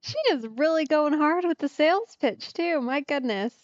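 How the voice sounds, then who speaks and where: A woman's voice: sits high, normal speed, no room sound, highs cut off. Dry-sounding woman game streamer. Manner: wry, conversational asides. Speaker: streamer playing a video game. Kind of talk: casual let's play commentary.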